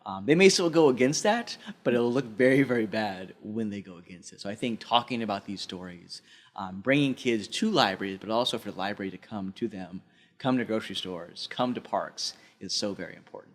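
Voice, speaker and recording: Earnest man with a low voice. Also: expressive, a little fast. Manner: earnest, repeats phrases for emphasis. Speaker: librarian and interview guest. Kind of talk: conversational podcast interview.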